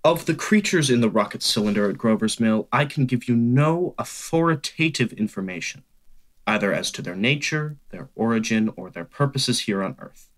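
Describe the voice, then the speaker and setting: A man playing a learned scientist, formal and deliberate, explaining things in a radio play. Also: mid-range voice, lively intonation, natural voice. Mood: grave, analytical. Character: measured male scientist, a learned professor. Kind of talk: radio play expert statement.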